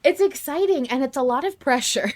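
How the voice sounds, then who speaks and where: A woman's voice: high voice, lively intonation, brisk, a little mellow. A woman hosting a podcast, chatting casually in earnest, emphatic, run-on thoughts about a story she follows as a fan. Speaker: podcast host. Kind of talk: casual fan discussion of an audio drama.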